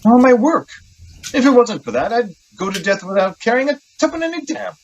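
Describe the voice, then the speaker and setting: An actor playing an idealistic, excitable young poet in a radio drama, earnest and theatrical with halting phrasing. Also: male voice, very high-pitched, lo-fi sound, a little hiss or hum. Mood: exalted, eager.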